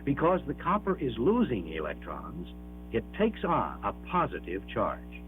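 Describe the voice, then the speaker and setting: A man reads a scripted educational film narration in a measured, emphatic, explanatory manner, on an old 1960s film soundtrack. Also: mid-range voice, huge pitch swings, faint music behind. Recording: dull band-limited 1960s 16mm film soundtrack.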